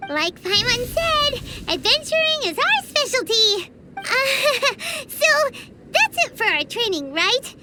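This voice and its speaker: A woman's voice: sing-song, takes it slowly, very noisy, heavily acted. A woman voicing a tiny, chatty, childlike female companion sprite in a video game, speaking of herself in the third person. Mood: chirpy. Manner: high squeaky childlike voice, refers to herself by name. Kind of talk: game cutscene voice acting.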